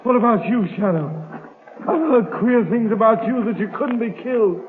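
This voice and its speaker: High-pitched desperate dying man. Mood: bitter, dazed. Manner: anguished, pleading, halting. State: weak, strained. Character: desperate, pleading wounded man trapped and dying. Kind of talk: 1940s radio drama acting. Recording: noisy tinny old radio recording.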